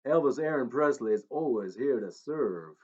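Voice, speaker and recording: A man's voice: medium pitch, lively intonation, normal speed, husky. A man voicing a paunchy middle-aged driver who claims to be Elvis, crooning with a put-on Southern twang. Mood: crooning swagger. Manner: crooning, swaggering, conspiratorial. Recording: slightly dull recording.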